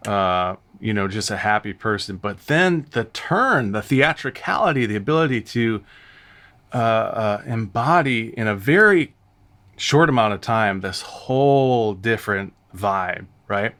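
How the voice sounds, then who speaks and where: American guy doing a reaction video, rambly casual commentary, animated and exclaims a lot. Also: lowish voice, a little slow. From United States. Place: studio.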